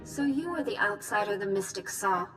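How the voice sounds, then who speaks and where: A woman's voice: medium pitch, animated, moderate pace, natural voice. An actress playing a solemn alien woman in a video game, speaking in formal, oracular phrases. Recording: echoey room, music behind.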